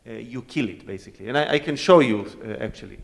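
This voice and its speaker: A cellist man whose first language is Hebrew talks to a concert audience, friendly and explanatory, and demonstrates as he goes. His voice sits at an ordinary pitch for a man. It carries from a distance through a large hall with a long reverb and a faint background hiss. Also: quick pace, creaky. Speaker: guest cellist. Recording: echoey hall, voice from far off.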